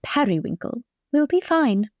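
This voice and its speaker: Woman playing a poised, high-voiced society lady. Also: very animated, unhurried, a little mellow, no room sound, highs cut off. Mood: condescending. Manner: refined, formal, patronising. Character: poised, imperious high-society lady, cunning older sister. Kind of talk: improvised tabletop roleplay.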